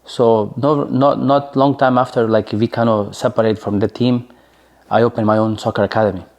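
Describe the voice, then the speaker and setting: A man's voice: mid-range voice, steady tone, normal speed, a little mellow. A man in his forties with a Slovenian accent, telling his story in an interview in a chatty way, full of fillers and tag questions. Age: 40s. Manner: chatty, many fillers, keeps asking "right?". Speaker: interview guest, former soccer player.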